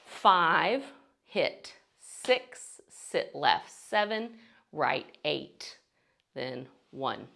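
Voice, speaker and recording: A woman's voice: deep voice, very animated, extremely slow, vocal fry, sharp tone. A woman teaching a beginner dance tutorial step by step, encouraging and counting beats aloud between instructions.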